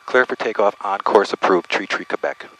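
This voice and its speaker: Guy flying a small plane, low voice, clipped chatter to himself and radio calls, engine noise behind. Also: normal speed, low-quality recording. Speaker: private pilot and aviation vlogger. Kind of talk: radio calls and casual cockpit self-narration. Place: cockpit of a small airplane in flight. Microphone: aviation headset. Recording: loud small-airplane cabin noise.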